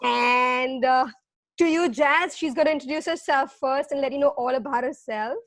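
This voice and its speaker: A woman's voice: high voice, moderate pace, no echo, studio quality. A woman from India co-hosting a casual chat show, bubbly and eager as she asks questions. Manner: bubbly, eager interviewer with fillers. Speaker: co-host.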